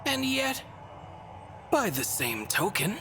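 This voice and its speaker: An actress playing a whimsical, bored god in a video game, speaking in theatrical, teasing rhymed riddles. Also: female voice, mid-range voice, sing-song, takes it slowly, gravelly, background noise, exaggerated voice. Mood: teasing, grandiose. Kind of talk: video game cutscene voice acting. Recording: music under the voice.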